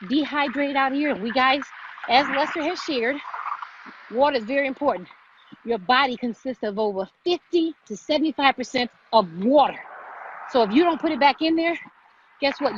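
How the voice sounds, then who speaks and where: A woman hosting a motivational live vlog, speaking emphatically and repeating phrases for stress. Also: high-pitched. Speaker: vlog host, fitness motivator. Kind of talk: motivational livestream chat. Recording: muffled, noisy live-stream audio.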